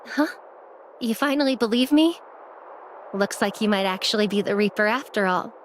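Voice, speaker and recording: A woman voicing an earnest, devout young emissary in an anime game, with a dramatic, expressive delivery. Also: mid-range voice, moderate pace, slight background noise, close to an everyday voice, poor audio.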